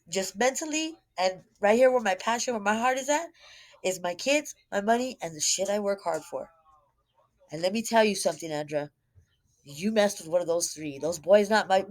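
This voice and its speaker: Blunt woman on an informal rant. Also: medium pitch. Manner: blunt, scolding, emphatic, repetitive. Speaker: online commentator posting a rant. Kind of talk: confrontational direct-to-camera rant, informal.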